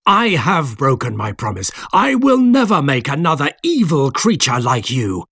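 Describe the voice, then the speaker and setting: A man's voice: high voice, big pitch swings, moderate pace, no room sound, studio quality, pitched above the performer's own voice. A man voicing an anguished, defiant scientist in an audiobook, emphatic and indignant. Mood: defiant, frightened.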